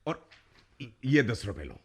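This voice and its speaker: An actor playing a wealthy family patriarch in a radio play, blustery yet easily cowed, with a husky voice. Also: male voice, lowish voice, sing-song, slow, darkish tone, heavily acted. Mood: bossy, generous.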